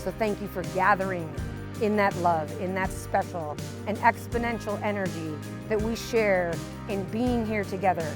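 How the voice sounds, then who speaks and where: A woman's voice: medium pitch, moderate pace. A woman leading a ceremony, speaking to a gathered group with big energy. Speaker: ceremony leader. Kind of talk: addressing a gathered crowd. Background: music.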